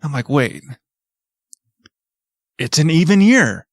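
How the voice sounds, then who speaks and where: A man's voice: fairly high pitch, sing-song, slow, no room sound, clean studio sound. A man in his thirties co-hosting a podcast, telling jokey stories in a laid-back way. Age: 30s. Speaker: podcast co-host. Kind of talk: casual chat.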